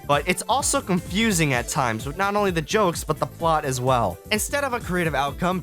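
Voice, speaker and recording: A man's voice: on the high side, a bit bright. A young man giving casual, scripted video review commentary in a sarcastic, sweary manner. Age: young adult. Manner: sarcastic, profane. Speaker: video essay host, film student.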